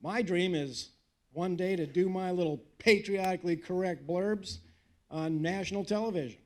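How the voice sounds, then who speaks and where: An older man speaks high and fervent from a rally stage, preacherly and emphatic. He throws in dry, joking asides between the serious lines, the rhythm of a seasoned speaker working a crowd. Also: moderate pace, slight background noise. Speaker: guest speaker at a political rally. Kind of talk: fiery patriotic rally speech. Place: live event in front of an audience.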